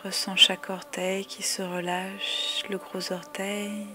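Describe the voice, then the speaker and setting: A woman guiding a yoga relaxation meditation with calm, soothing, measured instructions and long pauses. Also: mid-range voice, a little hiss or hum. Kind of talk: guided meditation, slow spoken body-scan instructions. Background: music.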